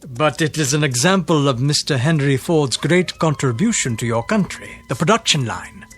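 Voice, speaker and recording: Radio drama role: courteous, exacting male envoy from abroad, lighter-pitched, formal phrasing. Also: lively intonation, normal speed, a bit bright, soft background music. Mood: courteous, doubtful. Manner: courteous, precise, formal diction. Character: polite, formal foreign male aide to an emir.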